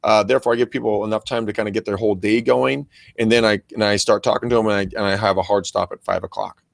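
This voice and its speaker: American man, big loud voice, bro slang, enthusiastic, casual show host, swears freely, dry private-office sound. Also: fairly low pitch, fairly even intonation, quick pace, vocal fry, no echo. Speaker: co-host of a real estate training show and agent. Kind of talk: casual unscripted show chat with shout-outs.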